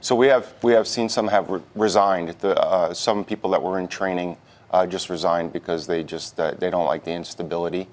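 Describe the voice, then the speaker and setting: A man answering questions as a guest in a live TV news interview, speaking haltingly with repeated words. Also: sits low, steady tone. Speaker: union president, interview guest.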